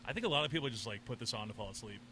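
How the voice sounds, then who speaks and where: A man co-hosting a podcast, talking sports excitedly with lots of stammered restarts. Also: medium pitch, racing, a little hiss or hum. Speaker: podcast co-host. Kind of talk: casual sports chat. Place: sports bar.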